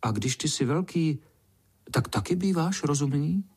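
Man as a naive little red ant, high-pitched. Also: animated, darkish tone, husky. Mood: curious. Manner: childlike, blurting and squeaking. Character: young red ant, naive, wide-eyed, small child-like creature. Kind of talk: children's storybook character voice.

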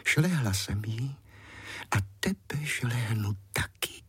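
Guy doing a mean old lady nettle, haughty hissy threats, husky, fairy tale stuff. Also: fairly low pitch, very animated, dry recording, heavily acted. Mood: haughty, threatening. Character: venomous, proud old stinging nettle, female, spiteful and sharp. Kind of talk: storybook fairy-tale character.

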